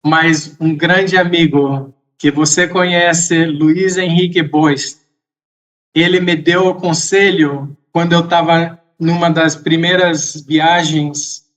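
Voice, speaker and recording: Slow, high-voiced man telling a story. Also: fairly even intonation. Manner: slow, measured, reflective, with occasional soft laughs. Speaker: podcast guest. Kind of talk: casual storytelling in an interview.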